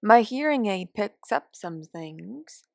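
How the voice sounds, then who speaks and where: A woman's voice: medium pitch, animated, takes it slowly, creaky, darkish tone, no room sound. A woman voicing a cranky, forgetful elderly care home resident in a wry, rambling, complaining monologue from a short story. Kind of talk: first-person dramatic monologue of short fiction.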